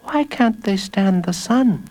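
Man putting on a high, eager little boy. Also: lively intonation, takes it slowly. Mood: curious. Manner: bright, eager, insistent. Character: cheeky, earnest, eager little boy prisoner.

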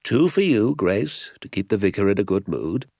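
Male reader as elderly village doctor, British, genial, knowing, animated intonation, audiobook character. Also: lowish voice, normal speed, creaky, no echo, studio quality, voice pushed up, full character voice. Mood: delighted. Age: older. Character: genial, wrinkled old male village doctor, quietly triumphant.

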